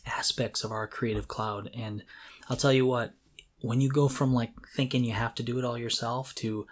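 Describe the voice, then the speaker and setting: A man's voice: medium pitch, fairly even intonation, normal speed. A middle-aged man co-hosting a podcast, talking casually and reflectively as he thinks aloud. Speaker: podcast co-host. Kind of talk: casual conversational talk.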